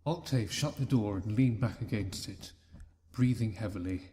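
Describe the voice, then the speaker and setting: A man narrating a fan-written story in a steady reading voice. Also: fairly low pitch, normal speed. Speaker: reader.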